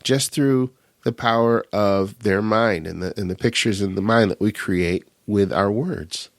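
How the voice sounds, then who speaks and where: A man's voice: on the low side, measured pace, no room sound, studio quality. A man from upstate New York co-hosting a podcast, talking in a friendly way with lots of filler sounds. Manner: friendly, with many filler uhs. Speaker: podcast co-host. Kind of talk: casual podcast chat. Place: home in upstate New York, remote recording.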